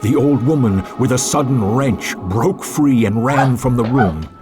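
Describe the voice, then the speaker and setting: A man's voice: mid-range voice, lively intonation, unhurried. A man narrating a classic horror story in a dramatic, suspenseful, theatrical way. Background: music.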